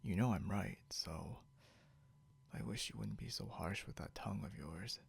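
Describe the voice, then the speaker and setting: A man playing a possessive, grudgingly caring non-human captor in an intimate ASMR roleplay, gravelly and often slipping into a whisper, muttering haltingly and condescendingly, with noise behind him. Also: on the low side, exaggerated voice. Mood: smug, threatening. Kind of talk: ASMR roleplay, intimate second-person acting. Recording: noticeable background hiss or hum.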